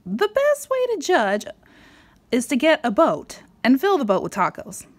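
A woman reading a picture book aloud to a young child in a playful, expressive way with dramatic emphasis. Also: high-pitched, unhurried. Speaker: storybook reader.

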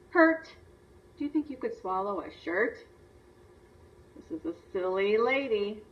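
Distant, dull-sounding woman teaching young children. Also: a little high. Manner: instructional, repeats and stresses words, asks simple questions. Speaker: teacher reading a picture book aloud to young children. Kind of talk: children's read-aloud lesson. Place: video lesson played on a screen and re-recorded. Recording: distant, dull replay through a screen's speaker.

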